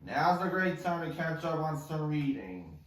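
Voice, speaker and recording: A man's voice: on the high side, very animated, a little slow, a bit bright, a little hiss or hum. A man reading the part of an earnest doctor at an amateur musical table read. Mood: serious. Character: earnest male doctor giving orders. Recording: distant mic in echoey room.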